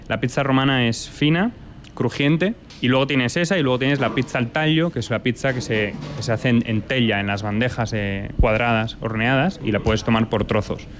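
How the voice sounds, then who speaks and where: Lively Italian-Spanish man talking food. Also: mid-range voice. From Italy and Spain. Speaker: restaurant owner. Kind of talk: interview answers.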